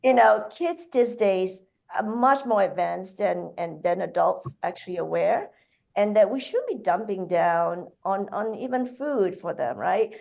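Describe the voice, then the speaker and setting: A woman from Malaysia answers an interviewer's questions, stopping and restarting often. Her voice sits in a middle pitch range and has a strong gravelly fry running through it. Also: lively intonation, a little slow, a little mellow. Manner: hesitant, with many fillers and restarts. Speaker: guest author and food entrepreneur.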